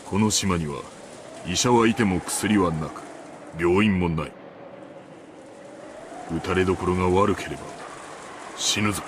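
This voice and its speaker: A male actor plays a grave older man from a visual novel. His voice sits deep and his delivery is blunt and terse. Noticeable background noise sits behind him. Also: a little fast, pauses often, lightly acted. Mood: grave warning. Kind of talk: Japanese visual novel voice acting.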